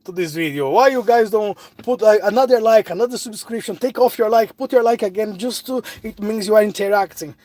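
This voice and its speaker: A man's voice: high-pitched, brisk, hardly any pauses, a little mellow, dry recording. A middle-aged man from Brazil with a Brazilian Portuguese accent, chatting casually on a phone live stream and answering viewers. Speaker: vlogger.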